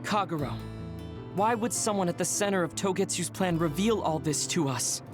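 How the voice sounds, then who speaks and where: Casting: actress for analytical game ally, female, measured and formal, composed, with music clearly behind. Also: fairly low pitch, lively intonation, lightly acted. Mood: probing. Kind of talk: English video game dub acting.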